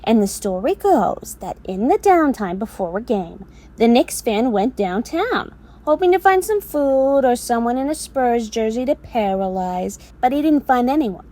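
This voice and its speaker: A woman's voice: sits high, warm tone, full character voice. A woman acting in an audio drama as an exasperated storyteller, telling a mocking fable in a theatrical way.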